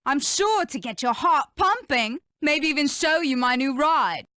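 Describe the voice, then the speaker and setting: A woman's voice: high-pitched, expressive, measured pace, pushed and bright, no echo, studio quality, exaggerated voice. A woman voicing a cocky, flirtatious animal villain in a cartoon video game, teasing and mocking.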